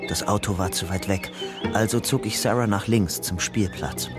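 A man's voice: on the low side, moderate pace, music in the background, lightly acted. An actor playing a young fugitive who narrates his grim story soberly and tensely in short sentences for a radio crime drama.

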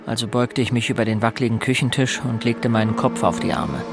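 A man's voice: medium pitch, steady tone, quick pace, natural voice. An actor playing a teenage boy who narrates grim events in the first person in a radio drama, sounding unsettled. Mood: uneasy, subdued. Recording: loud music bed under the voice.

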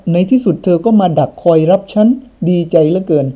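A man's voice: high-pitched, very slow, mellow, full character voice. A man voicing a young woman hunter, tearful and breathless with joy, on a dull lo-fi recording. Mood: overjoyed.

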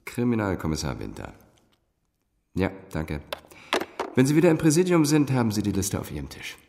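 An actor playing a wealthy, guarded businessman in a radio drama, formal and quick to take offence. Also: lowish voice, huge pitch swings, vocal fry, mellow, full character voice. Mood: guarded, businesslike. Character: wealthy businessman father, guarded, indignant when questioned. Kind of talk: German radio drama acting. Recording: reverberant room.

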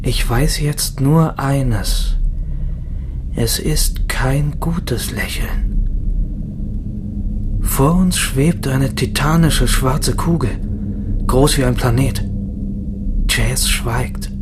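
An actor playing a bewildered, anguished rebel in a German radio drama, narrating in a halting, tense way. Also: male voice, mid-range voice, noisy background. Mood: stunned. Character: bewildered, anguished male rebel narrating his failed escape.

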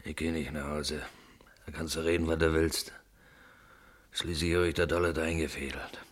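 A man's voice: rumbling low, level tone, moderate pace. An actor in a German radio play playing a stubborn, suspicious policeman, grumbling in colloquial speech.

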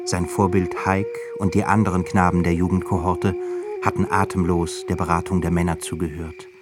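A man delivers scripted literary narration for a radio drama. His voice is low and grave, with deliberate phrasing. Prominent music plays throughout behind him. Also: flat delivery.

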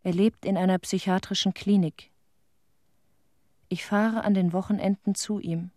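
Actress doing grieving middle-aged widow, radio play, halting and haunted, mellow voice, no room at all. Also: female voice, medium pitch, steady tone, dry recording, studio quality, close to an everyday voice. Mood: desolate, resigned. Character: grieving widow recalling her husband's death, tender, haunted. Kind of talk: intimate radio play monologue.

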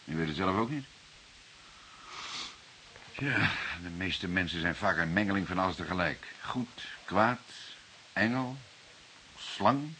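An actor playing a stern, formal police detective with a sardonic, probing way of talking in a radio play. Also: male voice, low voice, animated, slow, pauses often, bright, forceful tone, a little hiss or hum, full character voice, poor audio. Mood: calmer, wry. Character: stern male police detective, patient but explosive interrogator. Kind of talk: Dutch radio play dialogue.

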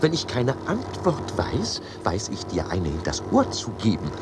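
Pompous winegrower with wildly swinging pitch. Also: male voice, wildly swooping pitch, a little slow, noisy background, exaggerated voice. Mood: pompous, scolding. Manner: pompous, declamatory, blustering. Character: stubborn, grandiose male winegrower, a father with lofty mission. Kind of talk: theatrical radio play of ancient comedy.

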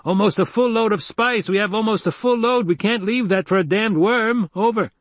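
A man's voice: high voice, moderate pace, dry recording, pitched above the performer's own voice, lightly acted. A man voicing an angry, stubborn harvester crewman calling urgently over the radio in an audiobook. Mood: agitated, defiant.

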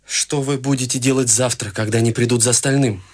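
An actor playing a shy, awkward young man in a studio radio play, speaking gently and haltingly. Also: medium pitch, fairly even intonation, natural voice. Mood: gentle. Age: young adult. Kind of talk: studio radio drama acting.

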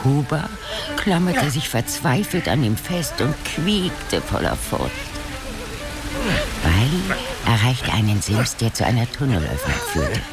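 A man's voice: medium pitch, very animated, slow. A man reading scripted narration in a fantasy radio drama. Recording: loud music under the voice.

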